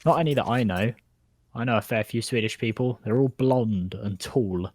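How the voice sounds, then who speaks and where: A man's voice: medium pitch, moderate pace, a little mellow, no room sound. A man with a British accent giving casual, chatty live color commentary on an esports match. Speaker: esports analyst caster.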